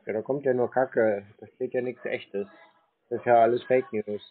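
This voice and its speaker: Dry deadpan guy, bit husky, tinny phone sound. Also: mid-range voice, moderate pace. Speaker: prank call recipient. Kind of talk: phone conversation.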